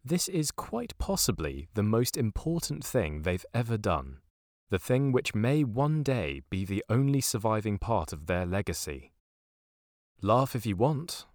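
A man doing scripted, dryly comic narration for an audio fiction show, with a deadpan manner. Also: fairly low pitch, unhurried, no echo, studio quality. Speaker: narrator and credits reader.

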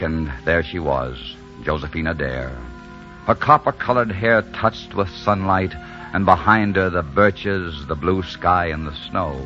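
An actor playing a rugged, bold adventurer smitten with love, in dramatic, romantic 1940s radio style. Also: male voice, sits low, fairly bright tone. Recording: dull 1940s radio recording with music.

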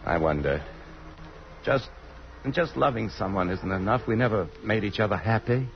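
Middle-aged businessman in love, really low voice, old radio play, muffled. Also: animated, normal speed, gravelly. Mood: earnest, troubled. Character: restless middle-aged married businessman in love, earnest, wistful. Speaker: actor in a radio drama. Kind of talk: old-time American radio drama acting. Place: radio theatre stage. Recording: muffled, hissy vintage radio recording.

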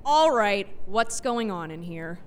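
An actress playing a blunt, exasperated small-town police officer in a comedy drama. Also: female voice, mid-range voice, animated, slow pace, heavy background noise. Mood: exasperated, stern.

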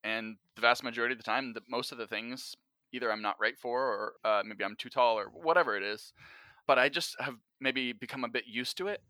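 A man's voice: mid-range voice, a little fast, sharp tone, dry recording. A man speaking as a podcast guest, giving advice in a casual, conversational way. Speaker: podcast guest, actor and writer-director.

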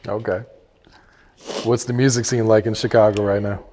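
A man asking casual questions as an interviewer, with a husky voice. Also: fairly low pitch, little pitch movement, moderate pace, dark tone, in a large hall, slight background noise.

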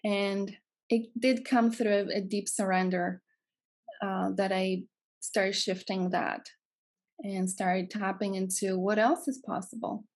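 A woman's voice: mid-range voice, fairly even intonation, slow pace. A woman of about forty from Greece, with a Greek accent, earnestly telling her life story as a podcast guest and repeating phrases for emphasis. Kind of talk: personal storytelling in an interview.

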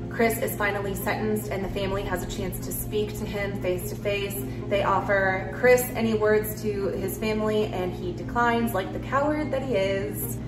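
Woman podcaster in an echoey room. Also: mid-range voice. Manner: casual, opinionated asides. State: slightly sick, starting to lose her voice. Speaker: true crime podcast host. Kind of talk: conversational true crime storytelling. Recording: roomy echo, distant mic, music behind. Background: music.

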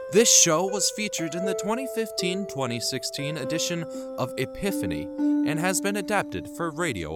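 A man hosting and narrating a scripted show in the manner of 1930s and 40s radio. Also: medium pitch. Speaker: show creator, host and narrator.

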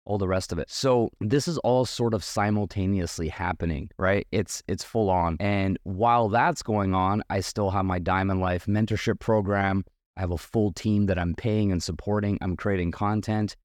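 A man hosting a solo podcast, earnest and motivational, his voice a bit hoarse from losing it, with a slight cough. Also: fairly low pitch, darkish tone, no room sound, clean studio sound. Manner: earnest, motivational, with many restarts. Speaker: podcast host.

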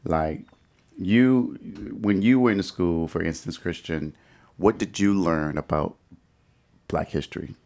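A man's voice: low voice, slow, darkish tone. A middle-aged American man from Detroit hosting a conversational podcast discussion and asking questions. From Detroit, United States. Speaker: podcast host.

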